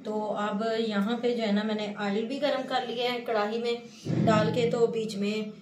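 A woman's voice: on the high side, fairly even intonation, unhurried. Homely Pakistani woman, echoey room. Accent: Pakistani Urdu. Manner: homely step-by-step talk, often saying "jo hai na". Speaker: village vlogger. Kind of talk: casual cooking narration. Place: small room at home, sitting on a floor mat. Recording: echoey room with clear background noise.

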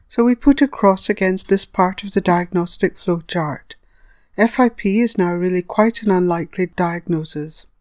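Woman doing a scripted vet training voiceover, medium pitch, teacherly step by step, very little room sound. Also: a little mellow, no echo. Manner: measured, didactic, explains step by step. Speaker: veterinary lecturer. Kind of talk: scripted educational narration.

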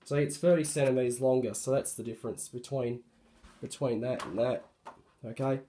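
Croaky-voiced man showing off a light. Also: medium pitch, normal speed, mellow. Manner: hesitant, many ums and self-corrections. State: hoarse from a cold. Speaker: hobbyist showing a refurbished light. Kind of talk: casual show-and-tell narration. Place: indoor room with light fittings on the wall.

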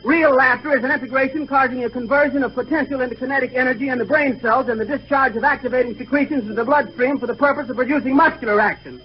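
An actor playing a stuffy, long-winded pedant in a bookish manner on a 1930s radio comedy. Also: male voice, very high-pitched, fairly even intonation, fast, few pauses. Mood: pompous, insistent. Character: stuffy pedantic man lecturing on proper laughter. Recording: muffled, noisy 1930s radio, audience behind.

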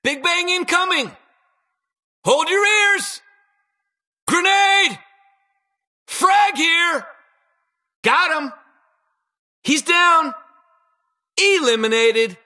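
High, excitable toy-inventor game hero. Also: male voice, extremely slow, long pauses, exaggerated voice. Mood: boastful, upbeat. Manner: excitable, slightly awkward, boastful. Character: eager, anxious toy-inventor hero with festive cheer. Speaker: voice actor for a video game hero. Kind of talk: video game battle voice lines.

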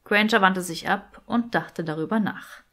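A woman reading fiction as an audiobook narrator, in expressive scripted narration. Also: medium pitch, measured pace.

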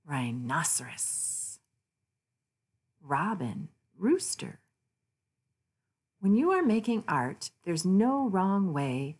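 A woman reading a children's picture book aloud, naming things deliberately with sing-song emphasis. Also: deep voice, husky. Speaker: read-aloud channel host.

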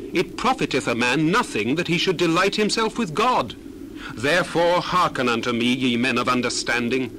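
A man's voice: fairly high pitch, background noise, lightly acted. A man playing an earnest, admonishing biblical orator in a solemn, declamatory dramatized scripture reading. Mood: solemn, reasoning. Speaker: dramatized Bible reader.

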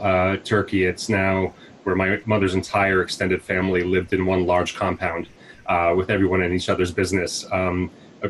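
A man's voice: low-pitched, a little hiss or hum, low-quality recording. A man in his forties from the United States, a nonprofit CEO answering interview questions on a video call through earbuds, reflective and full of fillers. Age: 40s. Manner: thoughtful, many fillers and restarts. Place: small room at home, indoors. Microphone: wired earbuds on a video call.

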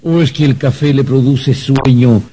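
An actor playing a fussy, flustered archangel bureaucrat in a radio drama, pompous and hesitant. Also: male voice, mid-range voice, steady tone, moderate pace, warm tone, lightly acted. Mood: officious, measured. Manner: hesitant, pompous, hemming and hawing. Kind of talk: Spanish-language radio drama acting.

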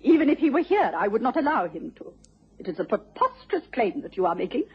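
An actress playing a proper, emotional doctor's wife in a radio drama, halting and formal in her agitation. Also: fairly high pitch, big pitch swings, husky, heavily acted. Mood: angry, indignant. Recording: muffled, noisy old radio recording.

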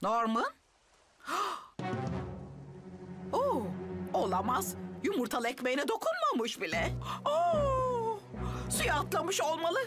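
A woman's voice: extremely high pitch, huge pitch swings, takes it slowly, long pauses, exaggerated voice. A woman voicing a fretful, doting, melodramatic mother in a Turkish cartoon dub. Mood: fretting. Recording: music behind.

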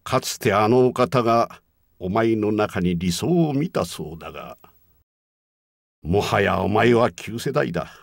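An actor voicing a haughty, menacing yeti combat robot in a Japanese video game, with an imperious, scornful, declamatory delivery and a gravelly voice. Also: male voice, fairly low pitch, animated, unhurried, dry recording, studio quality, full character voice. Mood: cold disdain.

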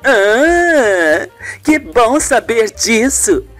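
Woman doing a poised shrewd journalist in a radio soap, pointed, animated voice, music behind. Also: fairly high pitch, extremely slow, pitched above the performer's own voice, full character voice. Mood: polite. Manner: pointed, probing. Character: poised, shrewd woman TV journalist confronting her mother-in-law. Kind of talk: amateur radio soap opera acting.

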